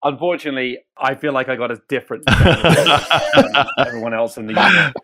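A man of middle pitch jokes wryly as he joins a call late. Clear crowd noise sits behind his voice. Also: a little fast. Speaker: late-joining guest. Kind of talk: joking chat.

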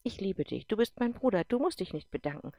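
A woman's voice: mid-range voice, lively intonation, brisk, mellow, no echo. A woman reading aloud and voicing an affectionate young woman talking eagerly to her brother.

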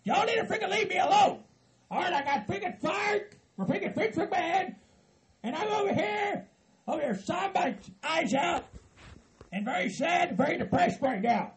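A man voicing an angry, foul-mouthed Southern hillbilly who rants and shouts as if deeply wronged. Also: animated, moderate pace, gravelly, a crowd in the background, heavily acted. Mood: irritated, aggrieved. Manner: hot-tempered, shouting, profane.

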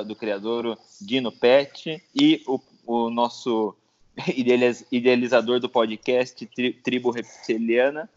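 A man hosting a podcast, enthusiastic, laughing often and tripping over his words. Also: mid-range voice. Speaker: podcast host. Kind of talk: casual interview chat. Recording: dull lo-fi sound with faint noise.

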